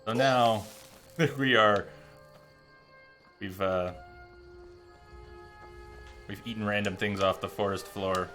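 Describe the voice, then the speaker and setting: A man's voice: lowish voice, steady tone, slow pace, long pauses, a bit bright. A man giving casual live gameplay commentary as a streamer, rambling through explanations in a joking way. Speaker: game streamer. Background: game music.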